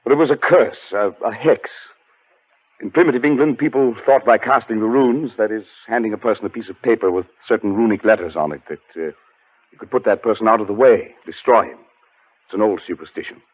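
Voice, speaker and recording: A man acting in a 1940s radio drama as a haunted, educated gentleman, telling his story in a formal, deliberate, dramatic way. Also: lowish voice, breathy edge, dry recording. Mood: uneasy, explaining. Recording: muffled 1940s radio recording, music behind.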